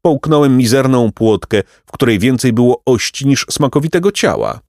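A man voicing a vain, pompous, aristocratic pelican with a shrill squawking voice for a storybook. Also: sing-song, normal speed, darkish tone, dry recording, clean studio sound, close to an everyday voice. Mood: pompous lament. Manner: pompous, haughty, florid exclamations. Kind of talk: storybook character voice.

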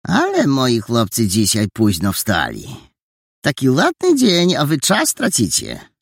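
A man's voice: wildly swooping pitch, slow pace, no room sound, studio quality, heavily acted. Man voicing a fussy, fretful old housekeeper. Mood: chiding. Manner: exclaiming, anxious, high and scolding. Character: fussy worried older woman housekeeper.

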